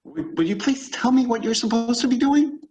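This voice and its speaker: A man's voice: very high voice, expressive, brisk, darkish tone, poor audio. A man acting an exasperated, sarcastic office boss in an old-time radio comedy, fussy and dry. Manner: fussy, dry, put-upon.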